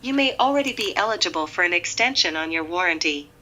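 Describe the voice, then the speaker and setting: A woman's voice: fairly low pitch, lively intonation, fast, pushed and bright. A woman playing an automated telemarketing phone menu, reciting options evenly. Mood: impersonal, scripted.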